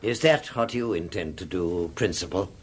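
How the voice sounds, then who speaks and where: A man's voice: low voice, moderate pace, husky. A man playing a supercilious, needling English academic law tutor in a radio drama, with a snide, donnish manner.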